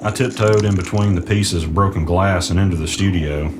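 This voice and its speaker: A man's voice: low-pitched, a little fast, noisy background, natural voice. A man playing an easygoing, understated recording studio guy in an audio drama. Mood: puzzled, wary. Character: laid-back young man running a recording studio, puzzled investigator. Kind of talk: naturalistic audio drama acting with first-person narration.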